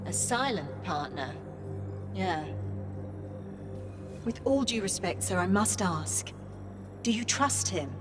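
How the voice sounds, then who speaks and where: A polite Irish AI assistant. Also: female voice, medium pitch, expressive, slow, long pauses, music in the background. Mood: formal. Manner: polite and formal, calls him sir. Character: polite formal female AI assistant. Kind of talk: video game voice acting.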